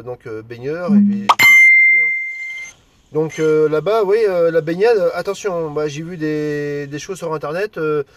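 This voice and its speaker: Higher-voiced Frenchman chatting, dry close sound. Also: darkish tone, no room sound. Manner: hesitant, colloquial, halting phrases. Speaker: amateur CB radio operator. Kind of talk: casual radio chat.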